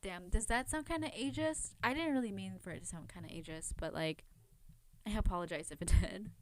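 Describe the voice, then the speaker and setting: A woman hosts a true crime podcast in a casual, chatty style, at an average pitch. She rambles informally, second-guesses herself and peppers her talk with fillers. The sound is close and dry, with no room echo. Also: fairly quick. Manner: informal, rambling, self-questioning, filler-heavy with frequent "like". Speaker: podcast host.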